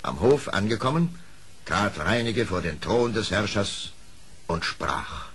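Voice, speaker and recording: A man's voice: on the low side, animated, slow pace, a bit bright, heavily acted. A man narrating a scripted storybook tale in a dramatic storytelling manner.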